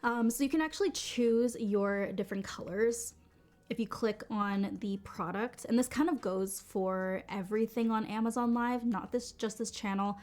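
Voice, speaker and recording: A woman's voice: on the high side, normal speed, a little mellow. Chatty woman demoing keyboards on a live stream. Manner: chatty, many fillers and restarts. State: sick, voice deeper than usual. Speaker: livestream product host. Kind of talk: casual live-stream product demo. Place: home basement studio.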